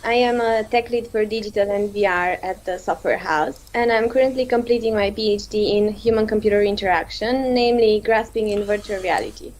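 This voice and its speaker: A woman's voice: mid-range voice, fairly even intonation, fairly quick, hardly any pauses, a little mellow, poor audio. A woman, a PhD student, speaking fluently on a video-call panel. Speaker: PhD student and tech lead. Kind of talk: panel self-introduction and story.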